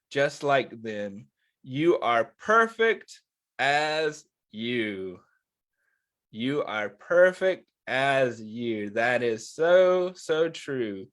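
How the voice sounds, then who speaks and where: A man's voice: a little high, fairly bright tone. A man hosting storytime for young children, talking to them in simple, reassuring words and often checking that they are following along. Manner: simple, reassuring, checks in with listeners, drawn-out phrasing. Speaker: children's storytime host reading a picture book. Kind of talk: storytime read-aloud and chat to young children.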